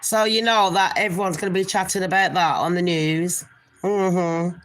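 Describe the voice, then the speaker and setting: A woman's voice: on the low side, fairly even intonation, moderate pace, fairly bright tone. A woman with a nasal voice, hosting a casual gossip livestream and chatting in a rambling way to her viewers. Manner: chatty, rambling, calls viewers people and darlings. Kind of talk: casual live chat to viewers.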